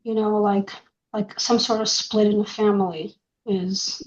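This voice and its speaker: Woman participant, hesitant, lots of fillers, flat even pitch, dull lo-fi audio. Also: measured pace. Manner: hesitant, full of "like" and "you know". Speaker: participant in a group discussion.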